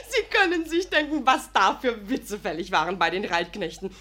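An actress playing a cheeky, gossipy young maidservant in a radio comedy, eager and teasing. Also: female voice, high-pitched, sing-song, measured pace, sharp tone, heavily acted. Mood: gleeful, excited. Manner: breathlessly eager, giggly, teasing. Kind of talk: animated radio comedy storytelling.

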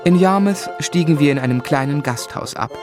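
An actor playing a polite gentleman who narrates his own youth in a composed, literary radio drama style. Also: a little high, fairly quick, over music, lightly acted. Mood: composed, reflective.

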